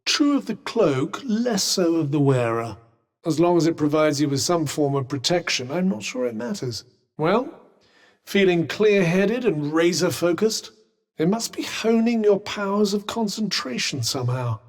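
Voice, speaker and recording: A man's voice: sits high, sing-song, moderate pace, in a large hall. An actor voicing a witty, sarcastic male talking magic cuff in a video game, teasing in a dry tone.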